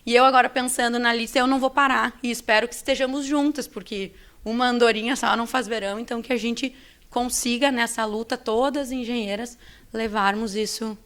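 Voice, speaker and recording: A woman's voice: on the high side, steady tone, fairly quick, a bit bright. A woman engineer on a panel, closing her remarks in an earnest, rallying tone.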